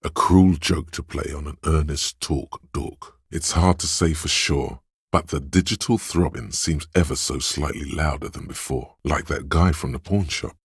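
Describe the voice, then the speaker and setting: A man voicing the brooding, sly inner voice of a detective's mind in a video game, narrating in a measured, theatrical way with a gravelly, husky voice. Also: extremely low pitch, no room sound, clean studio sound, full character voice. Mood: wry, deliberate. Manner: measured, deliberate, theatrical delivery. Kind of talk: dramatic scripted game narration of inner thoughts.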